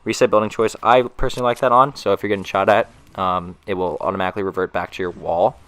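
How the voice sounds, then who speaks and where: A man's voice: sits low, steady tone, quick pace, fairly bright tone. A young man with a stuffy nose from a cold, talking loosely through a gaming tutorial voice-over with many fillers and restarts. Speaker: gaming YouTuber.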